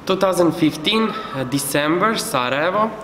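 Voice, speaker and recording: A man's voice: fairly high pitch, lively intonation, normal speed. A man telling a personal anecdote as a network member. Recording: very echoey hall, faint noise.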